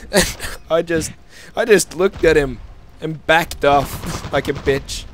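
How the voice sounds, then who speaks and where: A man's voice: on the high side, slow pace. A young man from Norway, casually telling stories in a joking, giggly, informal way. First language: Norwegian. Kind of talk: casual chat, storytelling. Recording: noisy amateur mic, clicks.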